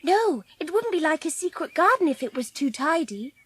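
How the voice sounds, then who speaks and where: A woman's voice: sits high, very animated, moderate pace. A woman playing an earnest, lonely orphan girl in a dramatised audiobook, with childlike phrasing. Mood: eager pleading.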